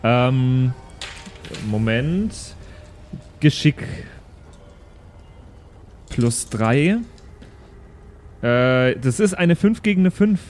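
A man's voice: medium pitch, lively intonation, very slow, long pauses, a little mellow. A man chatting casually as a player in an improvised role-playing game, often thinking aloud.

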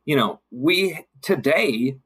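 Reflective man with a slightly husky voice. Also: medium pitch, lively intonation, slow, fairly bright tone. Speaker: guest, city council member. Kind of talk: conversational podcast discussion.

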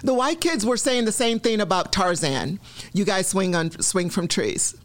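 Brief: middle-aged woman from Nigeria, interview guest, reflective, hesitant with ums, mid-pitched. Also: animated, moderate pace. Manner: reflective, with frequent ums and restarts. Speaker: guest, newspaper columnist. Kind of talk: conversational interview.

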